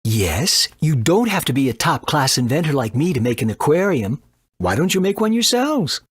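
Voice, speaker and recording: Husky, vain eccentric inventor. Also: male voice, a little high, big pitch swings, no room sound, clean studio sound. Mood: scornful. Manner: brusque, boastful, quick to scold. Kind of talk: animated video game voice acting.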